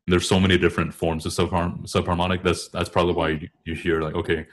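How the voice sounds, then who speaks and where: Low-voiced man explaining vocal technique. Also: flat delivery, fast. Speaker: guest singer and choral bass. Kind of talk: informal technical explanation in an interview.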